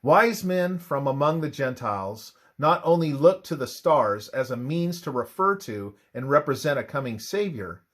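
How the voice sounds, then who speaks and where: A man reading a scripted religious narration aloud in a deliberate way, halting between short phrases. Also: mid-range voice, lively intonation, a bit bright. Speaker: reader of a religious presentation.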